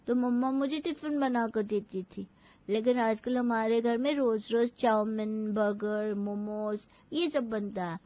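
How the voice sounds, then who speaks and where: Woman voicing a high, slow little schoolboy. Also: no echo, natural voice. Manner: slow, childlike delivery. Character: innocent little schoolboy, obedient and chatty. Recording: dull lo-fi audio.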